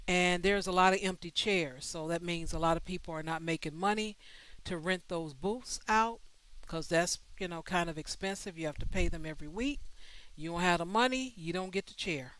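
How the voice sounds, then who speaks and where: Livestream host: a relaxed woman with a smooth, resonant voice, recorded dry and close. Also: on the low side, no echo, rough recording. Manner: easygoing, conversational, many fillers. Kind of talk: casual livestream chat.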